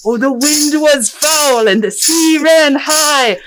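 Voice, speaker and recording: A woman's voice: high voice, slow, faint music behind, pitched above the performer's own voice, poor audio. A woman voicing a puppet that leads children in a sing-along sea shanty. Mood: singing, rousing.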